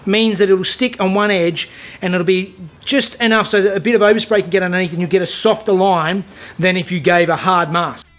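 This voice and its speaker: A man in his thirties with an Australian accent, showing a car body repair in his garage workshop, relaxed and practical with many "uh" fillers. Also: sits high, brisk. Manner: relaxed, practical, self-deprecating, lots of "uh" fillers. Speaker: DIY car repair host. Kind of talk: hands-on workshop tutorial with voice-over. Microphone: lapel mic.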